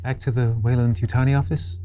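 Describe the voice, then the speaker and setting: A tabletop game player talks casually, slipping into character. The voice is dark and mellow, with faint music underneath. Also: mid-range voice, flat delivery, fast talker. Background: background music.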